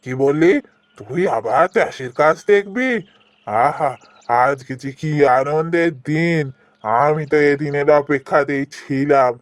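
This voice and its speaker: A man's voice: on the high side, a little slow, no room sound, close to an everyday voice. A man voicing a hard-working, protective elder brother, an earnest village farmer, in a cartoon. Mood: delighted. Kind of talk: cartoon voice acting.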